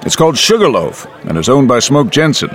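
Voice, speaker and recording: A genial saloon keeper, as a narrator puts him on, talking with lively swings in pitch. He sounds welcoming and easy. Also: a little fast, lightly acted. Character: friendly French-born saloon owner, genial.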